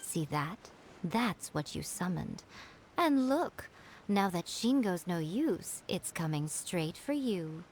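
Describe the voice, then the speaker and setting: Sly, imperious shrine priestess over noise. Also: female voice, on the low side, big pitch swings, exaggerated voice. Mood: mocking, commanding. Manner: measured, condescending, knowing. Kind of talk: video game dialogue.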